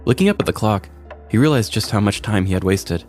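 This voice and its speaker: A man's voice: on the low side, lively intonation, brisk, a little mellow, faint music behind. A man reading a scripted comic story as a podcast storyteller.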